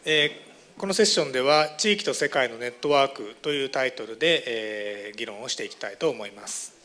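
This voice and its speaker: A man's voice: mid-range voice, very fast, pushed and bright. A man moderating a conference session, giving a formal introduction with frequent hesitations and fillers.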